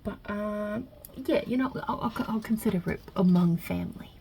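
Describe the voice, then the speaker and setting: A woman voicing God as a wry, touchy Italian mob boss in an ASMR roleplay, with a husky, breathy voice and a put-on Italian-American New York accent. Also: mid-range voice, moderate pace, slight background noise, natural voice. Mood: wry, frank. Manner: casual, wry, chatty, with softly murmured asides.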